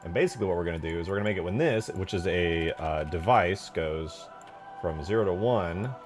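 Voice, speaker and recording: A man with a low voice talks through his work on a livestream, loose and slangy, with jokey asides and a readiness to get excited. He thinks aloud as he goes, and music plays clearly behind him. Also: a little fast. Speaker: live streamer and game modder. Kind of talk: casual livestream chat and screen tutorial.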